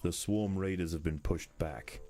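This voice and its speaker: A man's voice: low voice, a little slow, over music. A man voicing the solemn narrator of a nature strategy game, in scripted narration.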